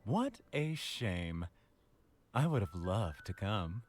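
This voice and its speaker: A man's voice: fairly low pitch, big pitch swings, slow pace. A man playing a flirtatious, playful fantasy love interest in a visual novel game. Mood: playful teasing. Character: smooth-talking, teasing fantasy love interest in a dating game. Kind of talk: visual novel character acting. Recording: music under the voice.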